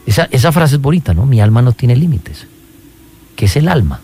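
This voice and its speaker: A man's voice: medium pitch, very animated, brisk, dark tone, slightly rough, soft background music. A man hosting a conversational radio interview, speaking colloquially and often tossing in short questions.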